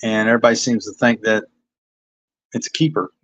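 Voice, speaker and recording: A man's voice: lowish voice, little pitch movement, fairly quick, a bit bright, no room sound. A man with a Kentucky accent, a comic artist talking casually and self-critically about his pages on a livestream. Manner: rambling, self-critical, hesitant. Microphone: phone.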